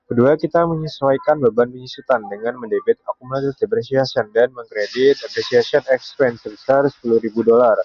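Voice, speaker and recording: A young man walks through material step by step like a student presenter. His voice sits at an ordinary pitch. The recording is very dry and somewhat muffled and lo-fi. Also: a little fast, few pauses, a little mellow, no room sound. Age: young adult.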